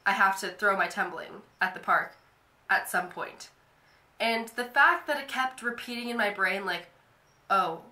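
A woman's voice: mid-range voice, pushed and bright, reverberant. A young woman vlogging to camera from her bedroom, talking casually with many "like" fillers, a little nasal from a cold. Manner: chatty, lots of "like" fillers. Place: bedroom, indoors.

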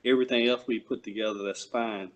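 A man at a school board meeting on a video call, asking questions in a halting way. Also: lowish voice, steady tone. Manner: slow, halting, tentative.

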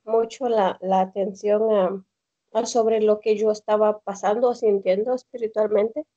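A young woman with a Mexican accent calling in on a video call from home, telling her story haltingly with many fillers and restarts. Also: mid-range voice, steady tone, warm tone. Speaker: caller. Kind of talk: hesitant personal testimony on a video call. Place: home room indoors. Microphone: laptop or phone on a video call. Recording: dull lo-fi video call audio.

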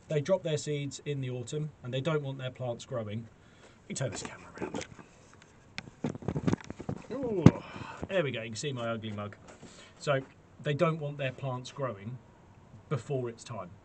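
A man in his forties with a British accent giving a casual, chatty, self-deprecating gardening demonstration to camera. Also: medium pitch. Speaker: allotment gardening vlogger. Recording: clearly noisy camera sound with clicks.

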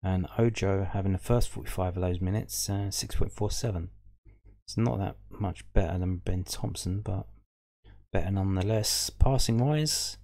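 Man, very deep voice, casual fan host, reading out stats, musing as he goes. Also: moderate pace, warm tone. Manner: musing, thinking aloud over a stats table.

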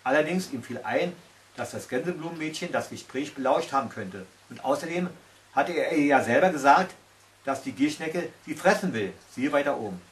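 A man's voice: fairly high pitch, expressive, moderate pace. A man in his sixties reading a fairy tale aloud at home, as a storyteller. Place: small tiled-stove corner indoors at home. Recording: recorded from a distance.

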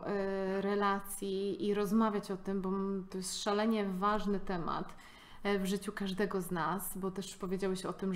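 A woman speaks calmly and supportively, often pausing on small fillers. Her voice is mid-pitched, and she leads the conversation as a co-host. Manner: calm, affirming, with hesitant fillers. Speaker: coach and co-host. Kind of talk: podcast conversation.

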